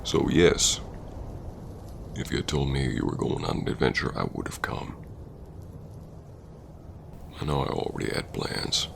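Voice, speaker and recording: A man playing a stern, hurt Old West bounty hunter confronting an old flame in an ASMR roleplay, brooding and halting yet tender. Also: expressive, noisy background, heavily acted. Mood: wounded, pressing. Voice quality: very deep, gravelly drawling voice. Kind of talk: ASMR roleplay acting, spoken to the listener.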